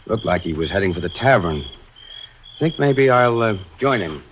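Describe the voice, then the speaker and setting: A man playing a calm, watchful, polite detective in old-time radio suspense, speaking in a measured, formal, understated way. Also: lowish voice, close to an everyday voice. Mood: calm, probing. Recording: muffled, noisy vintage radio recording.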